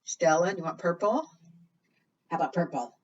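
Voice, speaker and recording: Animated woman leading preschool storytime, slightly muffled. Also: lowish voice, moderate pace, husky. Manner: coaxing questions, exaggerated gasps and singsong refrains. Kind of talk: interactive storytime talk to small children. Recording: muffled, distant microphone.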